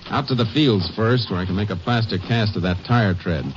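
An actor playing a terse, matter-of-fact, commanding Texas Ranger in a 1950s western radio drama. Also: male voice, lowish voice, fairly quick, background noise, lightly acted. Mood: brisk, determined. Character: steady, commanding Texas Ranger, shrewd investigator. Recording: dull old radio recording.

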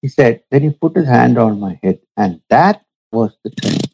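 A man, a spiritual teacher, telling a story from his past in a slow, measured, reflective way during an interview. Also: medium pitch, lively intonation, gravelly, a little mellow, husky, rough recording. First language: Urdu.

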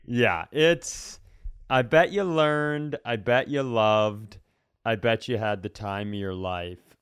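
A man's voice: mid-range voice, slow, clean tone, no echo, clean studio sound. A man co-hosting a podcast, telling casual comic stories in a wry, rambling way. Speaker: podcast co-host. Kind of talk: casual comedic storytelling.

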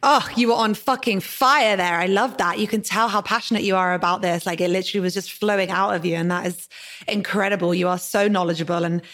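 A woman's voice: mid-range voice, steady tone, fast talker, fairly bright tone. A woman hosting a podcast, talking in an effusive, casual way, swearing freely and saying "like" often. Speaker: podcast host.

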